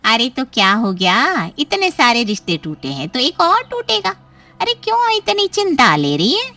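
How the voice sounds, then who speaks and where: A woman's voice: high-pitched, huge pitch swings, moderate pace, pushed and bright, distant mic, full character voice. A woman playing a reassuring, persuasive middle-aged aunt in story dialogue, emphatic and coaxing. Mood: dismissive reassurance.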